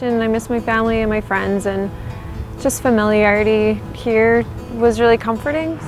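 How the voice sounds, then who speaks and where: A woman's voice: on the high side, moderate pace, faint music behind. A woman answering interview questions in a sincere way.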